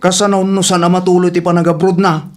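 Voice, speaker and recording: A man's voice: sits high, flat delivery, fast talker, darkish tone, lightly acted. A man playing a worried, doubtful older father in an Ilocano radio drama, fretful and questioning.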